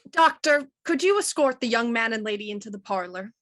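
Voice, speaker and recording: A woman playing a shrewd, commanding police inspector in a Victorian radio mystery, crisp and analytical. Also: on the high side, lively intonation, fairly quick, a bit bright, no echo, clean studio sound. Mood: brisk, commanding.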